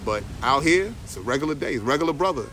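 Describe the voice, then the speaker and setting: Animated slangy man telling a story. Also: medium pitch, very fast. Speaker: traveler in conversation. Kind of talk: casual anecdote telling.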